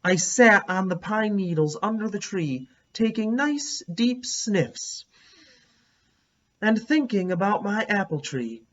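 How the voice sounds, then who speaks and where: A man reading a children's book aloud as an expressive storyteller. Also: sits high, takes it slowly, lo-fi sound.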